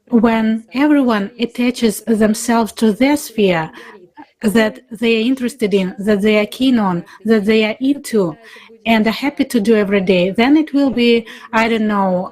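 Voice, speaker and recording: A woman's voice: fairly high pitch, a little mellow, poor audio. A woman speaking earnestly and idealistically in an unscripted panel discussion.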